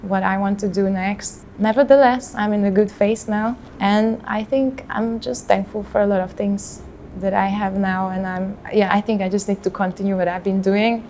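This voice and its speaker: A woman's voice: medium pitch, steady tone, moderate pace, a little mellow, slight background noise. A woman in her thirties with a Hungarian accent, speaking reflectively and unhurriedly in a personal interview. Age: 30s. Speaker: interviewee in a portrait documentary. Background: background music.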